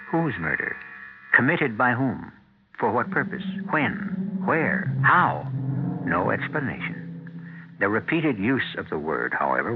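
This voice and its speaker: A man hosting a mystery radio series, narrating scripted suspense in a dramatic, deliberate, theatrical manner. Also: fairly low pitch, creaky. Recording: narrow old radio broadcast.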